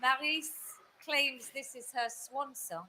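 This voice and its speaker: A woman's voice: high-pitched, slow, a crowd in the background. A woman hosts a live prize ceremony, reading out the shortlist in an upbeat, presenter-like way. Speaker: ceremony host. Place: event venue. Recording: noisy venue stream.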